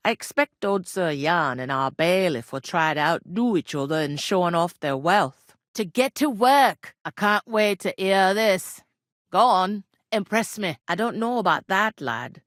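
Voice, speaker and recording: An actress playing a plain-spoken, bossy, world-weary village innkeeper in a medieval video game, with a rustic working-class English regional accent and a blunt, wry manner. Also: female voice, on the low side, expressive, a little slow, dry recording, clean studio sound. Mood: concerned, gossipy, then sceptical, challenging, then chatty, matter-of-fact. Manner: blunt, earthy, colloquial, wry. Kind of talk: video game character dialogue.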